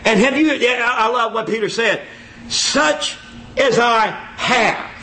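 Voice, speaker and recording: A man preaching an informal sermon in a church, folksy and self-mocking, repeating his phrases and exclaiming loudly. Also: very high-pitched, slow pace, a little hiss or hum, rough recording.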